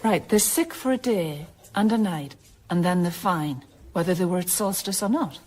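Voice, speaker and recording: A persistent woman journalist played by an actress in a radio drama, with a fairly low voice. She talks conversationally and naturally, probing for answers. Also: normal speed, darkish tone, natural voice.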